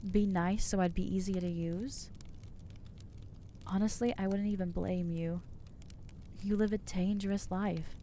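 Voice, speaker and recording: A woman's voice: mid-range voice, steady tone, measured pace, pauses often, warm tone, slight background noise, close to an everyday voice. A woman voicing an anxious, self-loathing young female vampire in an ASMR audio roleplay, talking hesitantly and putting herself down. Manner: hesitant, self-deprecating, trailing off.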